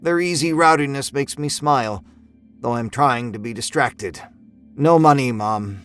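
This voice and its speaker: A man narrating a first-person horror story in a measured, brooding, expressive way. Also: mid-range voice. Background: music score.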